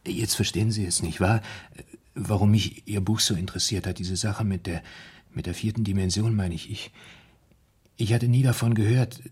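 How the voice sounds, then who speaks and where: A low-voiced, husky man, tormented and anxious. He tells his story in halting, broken phrases, as a haunted confession in a seventies German radio play. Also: fairly even intonation, darkish tone. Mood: earnest, nervous. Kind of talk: 1970s German radio drama acting.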